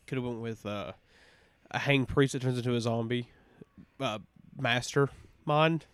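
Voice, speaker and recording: A man's voice: mid-range voice, a little slow, clean tone, no echo. Easygoing man, dry close sound. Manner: relaxed, swears casually. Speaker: podcast co-host. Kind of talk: casual podcast chat.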